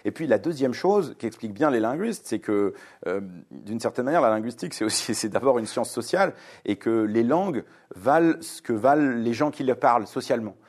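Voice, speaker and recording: A man's voice: mid-range voice, lively intonation, a little fast, darkish tone. A man in his forties, originally from Lebanon, sits as the author in an interview. He reasons aloud in long, fluent sentences broken by frequent hesitations. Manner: fluent, reasoned, many fillers. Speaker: author guest. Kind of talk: radio interview.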